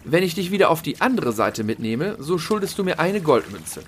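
Man as mysterious ferryman, fairy tale audio drama, kinda whispery warnings, highish voice, water noise behind. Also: very animated, fairly quick. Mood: ominous warning. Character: mysterious, cautioning ferryman at a lake. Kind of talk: fairy-tale radio play acting.

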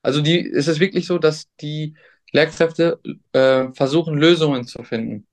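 A young man, a substitute teacher, answering interview questions in a hesitant, thoughtful way with many pauses and restarts. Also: a little high, fairly even intonation.

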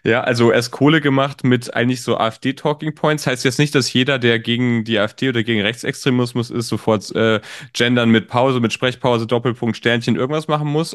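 A man in his late thirties hosts a podcast conversation, talking in a loose, rambling way with plenty of fillers and the odd joke. His pitch sits in a middle range. The recording is dry and close, with no room sound. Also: smooth, dry recording. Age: 30s. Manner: rambling, joking, many fillers. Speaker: podcast host. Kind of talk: casual conversational interview.

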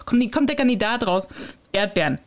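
Woman, podcast host, casual chat, giggly storyteller, medium pitch. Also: expressive, moderate pace. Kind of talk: casual chat, retelling anecdotes.

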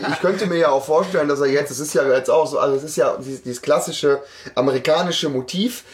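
A man's voice: a little high, a little fast. A man chatting casually as a podcast host, colloquial and playfully ironic. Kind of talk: casual fan podcast chat about an audio drama.

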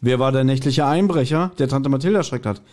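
A man's voice: medium pitch, quick pace, darkish tone. A man co-hosting a podcast, recapping an audio drama in a casual, colloquial way. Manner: colloquial, acts out quotes animatedly. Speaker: podcast co-host.

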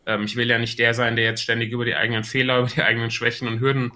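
A man's voice: medium pitch, flat delivery, rapid-fire, bright, forceful tone. A man with a Hessian accent, a podcast guest talking about marketing in a thoughtful way with many filler sounds. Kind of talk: casual podcast interview.

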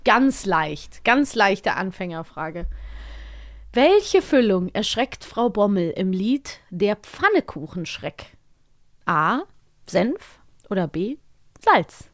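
A woman co-hosting a podcast in casual chat, lively and chatty as she quizzes her co-host. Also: mid-range voice, slow pace, dry recording, clean studio sound.

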